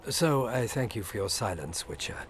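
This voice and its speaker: An actor playing a suave, middle-aged imperial ambassador in a video game, formal, measured and insinuating. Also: male voice, fairly low pitch, animated, slight background noise. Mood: politely probing. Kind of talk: video game dialogue acting. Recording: music behind the voice.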